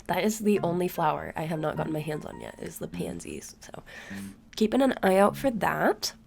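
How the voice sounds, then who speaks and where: A woman's voice: lowish voice, big pitch swings, moderate pace, creaky, a little mellow. A young woman with a congested, sore-throated voice, chatting casually in a voice-over about her game at a home mic. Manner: chatty, rambling, tired. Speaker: gaming YouTuber. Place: home room. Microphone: desk mic, recorded at her chair.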